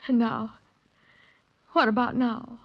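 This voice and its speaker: A woman's voice: on the high side, fairly even intonation, takes it slowly. An actress playing an anxious, protective young woman, pleading emotionally in 1940s radio melodrama style. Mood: distressed. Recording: dull vintage radio recording.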